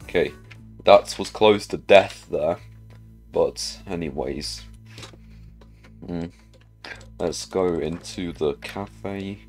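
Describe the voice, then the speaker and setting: A man's voice: sits low, extremely slow, long pauses, darkish tone, faint music behind. A man giving casual gameplay commentary, chatting and thinking aloud as he plays. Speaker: gamer narrating own play.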